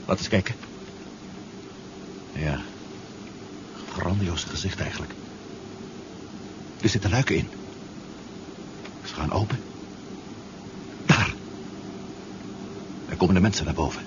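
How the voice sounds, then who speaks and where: An actor in a 1970s Dutch radio drama playing an impatient, bold adventurer on a submarine expedition. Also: male voice, deep voice, slow pace, long pauses. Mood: hushed awe. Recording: muffled, noisy 1970s radio recording.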